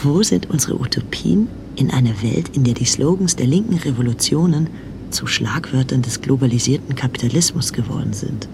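A man's voice: medium pitch, animated, moderate pace, noisy background, lightly acted. An actor playing a pensive, disillusioned filmmaker, a man who narrates a radio drama in a reflective, questioning manner. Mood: questioning, brooding.

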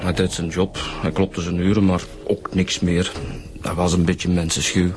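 An actor playing an easygoing, slightly hesitant police detective with a Flemish accent, talking colloquially in a radio drama. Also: male voice, low voice, flat delivery, moderate pace, noisy background, natural voice. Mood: matter-of-fact.

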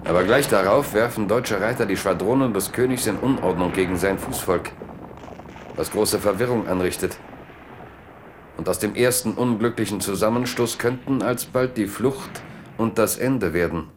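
A man narrating a German radio drama as a measured, deliberate storyteller and chronicler. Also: lowish voice, a little hiss or hum, lightly acted. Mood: measured, suspenseful. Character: measured chronicler of kings and battles.

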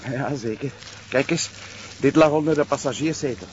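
An actor playing a dryly amused Flemish detective, assistant to a commissioner, in a naturalistic TV crime drama. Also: male voice, mid-range voice, normal speed, dull audio, a little hiss or hum, natural voice. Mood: businesslike.

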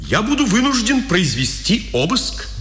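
A Gestapo officer, formal and coldly courteous yet used to command. His voice is sharp and sweeps widely in pitch. It is an old radio drama recording with a roomy, reverberant sound. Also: wildly swooping pitch, moderate pace, slight background noise, exaggerated voice. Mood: cold politeness. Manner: formal, clipped orders. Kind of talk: 1950s Soviet radio drama acting. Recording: old echoey radio drama, music behind.

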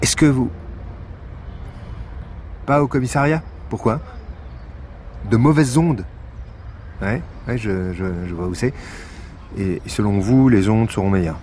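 An actor playing a weary, dry-humored police investigator in a naturalistic audio drama, speaking in a hesitant, understated way. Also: male voice, mid-range voice, measured pace, long pauses, a little mellow, slight background noise, lightly acted. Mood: awkward, polite. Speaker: actor in a fiction podcast.

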